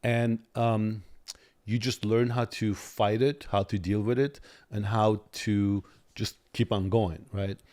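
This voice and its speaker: A middle-aged Armenian-accented man with a lowish, warm, mellow voice, whose native tongue is Armenian. He talks earnestly as a guest on a podcast and repeats words while finding his footing. His delivery is calm and steady. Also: slow. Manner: earnest, repeats words when starting a thought. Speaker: guest lawyer. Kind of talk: podcast interview. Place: podcast studio.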